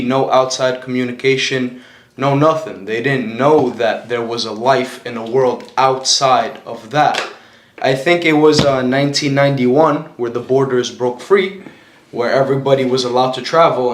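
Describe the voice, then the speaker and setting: Young man from albania talking earnest on a podcast, echoey room. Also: mid-range voice. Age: young adult. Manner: earnest, heartfelt, motivational. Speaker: podcast guest. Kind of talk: casual podcast chat.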